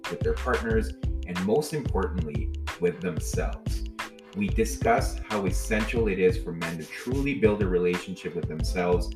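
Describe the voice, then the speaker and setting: Man, podcast host, earnest and upbeat, very deep voice, faint background music. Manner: earnest, enthusiastic, many fillers. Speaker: podcast host, life coach. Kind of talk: podcast intro and interview.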